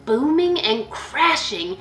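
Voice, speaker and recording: Woman reading aloud, high and lively. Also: roomy sound, soft background music. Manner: animated, pausing to ask young listeners questions. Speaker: school librarian reading aloud. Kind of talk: expressive children's picture-book read-aloud.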